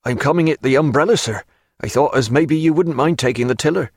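Man doing a hearty, high-pitched old-navy sailor. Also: brisk, husky, no echo, clean studio sound. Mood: matter-of-fact. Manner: rustic, deferential seaman's talk. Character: plain-spoken, hearty male sailors of an old warship's crew. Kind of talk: audiobook character voice.

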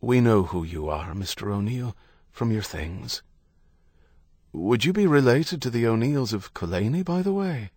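Priest, played by a male narrator: folksy, gentle on the surface, prying underneath, audiobook story, close dry sound. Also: medium pitch, lively intonation, measured pace, husky, no room sound. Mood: gentle, probing. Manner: gentle, folksy, faintly accusatory. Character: Irish priest, solicitous yet prying and blunt.

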